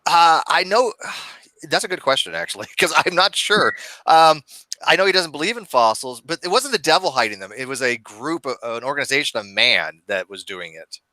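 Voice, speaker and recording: A man's voice: a little high, sing-song, normal speed, bright, forceful tone, no echo, low-quality recording. A man in his forties hosting a casual video-call discussion from a dim room at home, talking with many stammered restarts. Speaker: host. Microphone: webcam video call.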